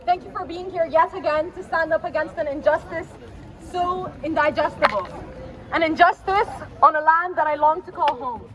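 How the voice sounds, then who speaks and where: A woman's voice: very high-pitched, normal speed, some chatter behind. A woman delivers a spoken-word poem as a public speech, declaiming with passion and repeated phrases. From Palestinian diaspora. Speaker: protest speaker, poet. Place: outdoors at a city street protest. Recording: noisy outdoor street with traffic ambience.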